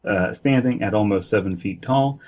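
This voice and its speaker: A man's voice: fairly low pitch, normal speed, a little mellow, muffled recording. A man hosting a podcast, reading aloud and breaking off into casual, joking asides. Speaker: podcast host.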